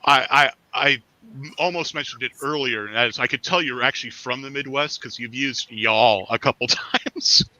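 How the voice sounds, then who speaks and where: A man's voice: mid-range voice, moderate pace, no room sound, low-quality recording. A man hosting a video call stream, chatting casually and bringing in his guests. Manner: relaxed, introduces guests. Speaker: stream host.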